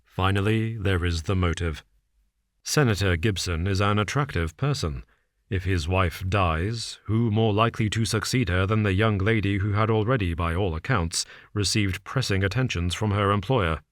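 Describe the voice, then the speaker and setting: A man reads a calm, shrewd detective, voice dropped a little below his own low register. He lays out facts slowly and deliberately, with a dry, understated edge. The recording is close and dry. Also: dry recording, close to an everyday voice. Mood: measured. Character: cool, shrewd male detective, measured and dry.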